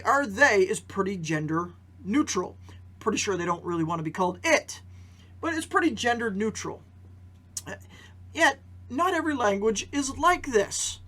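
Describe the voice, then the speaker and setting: A man's voice: sits high, sharp tone. A man giving conversational news commentary as a podcast host, posing rhetorical questions and repeating phrases for emphasis. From Canada.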